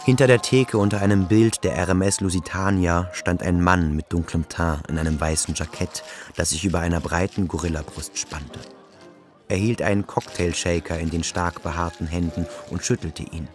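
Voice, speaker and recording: A man narrating a literary radio drama in a measured, descriptive manner. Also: low-pitched, steady tone. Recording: prominent music behind narration.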